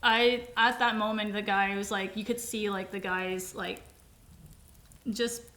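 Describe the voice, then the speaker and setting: A woman whose first language is Vietnamese, co-hosting a podcast and telling a personal testimony in halting, emotional, casual speech full of restarts. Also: mid-range voice, steady tone, pushed and bright, echoey room. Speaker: podcast co-host.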